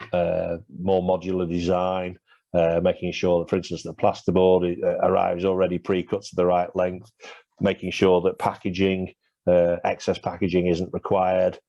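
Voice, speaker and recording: A man's voice: low-pitched, completely flat delivery, moderate pace, warm tone, no echo. A man presenting a webinar and answering questions, talking with many fillers and restarts, his voice going. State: losing his voice. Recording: dull lo-fi webinar audio.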